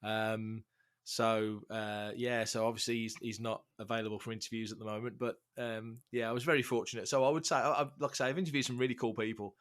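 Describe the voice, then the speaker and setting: A man's voice: lowish voice, little pitch movement, fast, a bit bright. Congested man telling a long story. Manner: chatty storyteller, self-deprecating. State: congested from a cold. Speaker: podcast guest. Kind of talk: casual podcast chat.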